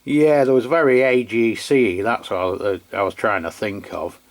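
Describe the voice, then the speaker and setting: A man's voice: fairly low pitch, fairly even intonation, moderate pace, noisy background. A man chatting casually as a CB radio operator. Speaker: radio review channel host, CB operator. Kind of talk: casual two-way radio chat.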